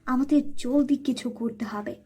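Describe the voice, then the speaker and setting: A woman acts out a timid fairy from a magic well in a cartoon. Her voice is high, soft and slightly breathy. She sounds anxious and pleads as she speaks. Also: unhurried. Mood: alarmed.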